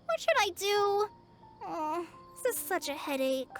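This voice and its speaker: A woman's voice: extremely high pitch, big pitch swings, measured pace, heavily acted. A woman voicing an earnest, timid young student girl in a video game, thinking aloud in a hesitant, sincere way. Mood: worried. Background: music.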